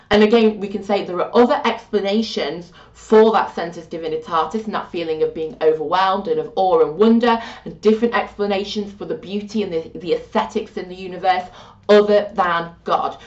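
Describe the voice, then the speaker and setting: A woman teaching a religious studies lesson in a chatty, animated way with self-deprecating asides, her voice getting croaky. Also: medium pitch, normal speed, far from the mic. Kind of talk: informal video lesson. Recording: muffled, roomy, from off-mic.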